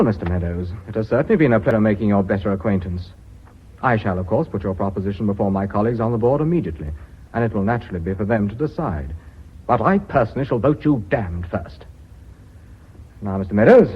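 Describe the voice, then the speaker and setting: An actor playing a sardonic, well-bred company director in British radio drama, dry and ironically polite. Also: male voice, on the low side, husky. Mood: polite defiance. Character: sardonic, well-bred gentleman heading a family firm. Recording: slightly dull radio drama recording.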